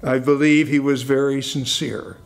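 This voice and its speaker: An older American man preaching a sermon as a pastor, with deliberate, emphatic phrasing and pauses. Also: medium pitch, flat delivery, gravelly. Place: indoors at a church lectern.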